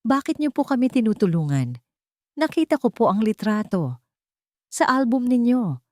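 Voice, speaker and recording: A woman voicing a frightened, doubtful young mother in an audio drama, soft yet emphatic. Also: a little high, big pitch swings, normal speed, dry recording, studio quality, pitched above the performer's own voice. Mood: wary, insistent.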